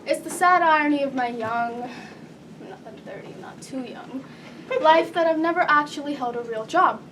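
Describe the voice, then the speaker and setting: A woman's voice: high-pitched, normal speed, fairly bright tone. A woman performing a stage monologue as a nervous, rambling thirty-year-old job applicant who keeps correcting herself. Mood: nervous, awkward. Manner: rambling, nervous, self-correcting with awkward laughter. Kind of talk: live theatrical comic monologue. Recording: distant stage mic with background noise.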